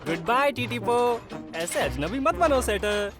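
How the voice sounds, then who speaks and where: Sociable crane, wildly swooping pitch. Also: female voice, very animated, unhurried. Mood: friendly teasing. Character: friendly, sociable female crane machine. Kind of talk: animated children's cartoon dubbing. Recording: music behind.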